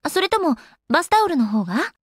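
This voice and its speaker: An actress voicing an elegant, teasing young goddess in a Japanese video game, with refined, polite and slightly haughty manners. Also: female voice, very high voice, sing-song, fast talker, no room sound, clean studio sound. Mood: teasing, pleased. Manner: polite, haughty ojou-sama refinement with playful coyness.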